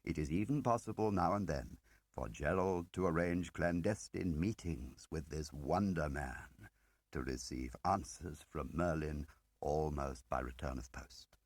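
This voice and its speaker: A man reading the part of an ageing spymaster in an audiobook, deliberate and probing, pausing between phrases and pressing with questions. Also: extremely low pitch, slightly rough, no echo, full character voice. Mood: measured, explaining. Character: ageing male spymaster, measured, probing, quietly relentless. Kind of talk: dramatic audiobook character reading.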